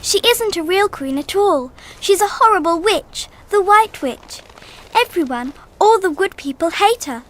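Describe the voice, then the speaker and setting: A woman's voice: extremely high pitch, animated, unhurried. A woman playing an earnest, kind-hearted little girl in a radio drama. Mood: earnest pleading.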